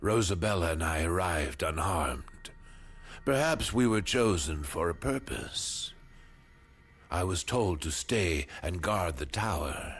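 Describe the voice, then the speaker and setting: An earnest, weary townsman in a fantasy game. His voice is on the deep side and very slow, yet swings up and down dramatically, over faint background noise. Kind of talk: dramatic video game acting.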